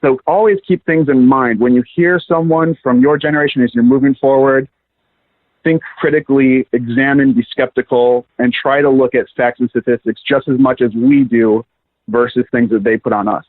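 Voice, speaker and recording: A man's voice: mid-range voice, fairly even intonation, moderate pace. A man in his thirties calling in to a show, making an earnest, argumentative case. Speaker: caller. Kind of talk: call-in commentary. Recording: tinny phone line.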